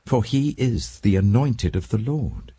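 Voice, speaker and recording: British man acting out earnest young warrior, pleading, declamatory, raspy, swooping delivery, Bible audiobook drama. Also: lowish voice, big pitch swings, measured pace, warm tone, dry recording, pitched above the performer's own voice, exaggerated voice. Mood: shocked, firm. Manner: impassioned, declamatory.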